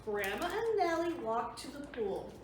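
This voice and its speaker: A woman's voice: high voice, sing-song, unhurried. A woman presenting a children's storytime, reading aloud and explaining things simply for young listeners. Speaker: library storytime host. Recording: very echoey room, distant mic, faint noise.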